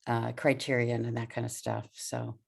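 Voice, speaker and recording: Female call participant; very low, gravelly fry, informal and encouraging. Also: flat delivery, normal speed, a crowd in the background. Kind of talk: casual talk on a group call. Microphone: online conference call.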